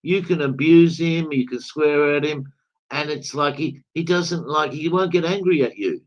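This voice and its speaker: A man's voice: on the high side, normal speed. A man talking casually as a panelist in an online discussion. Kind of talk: casual chat. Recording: dull, lo-fi online call audio.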